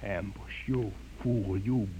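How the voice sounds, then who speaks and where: A man's voice: lowish voice, steady tone, slow, mellow, breathy edge, heavily acted, low-quality recording. An actor playing a defiant, idealistic young man in a 1950s radio drama, speaking in an impassioned, declamatory way. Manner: impassioned, pleading then declamatory. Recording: hissy old radio transcription.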